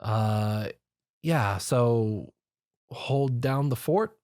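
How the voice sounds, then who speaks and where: A man voicing a calm, level-headed young man who talks in a low-key, dry way. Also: very slow, no echo.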